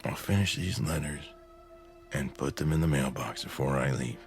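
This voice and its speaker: Husband, middle-aged, radio drama: rumbling bass, practical, calm but unbending. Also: male voice, moderate pace, soft background music. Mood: gently firm. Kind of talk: low-key radio drama acting.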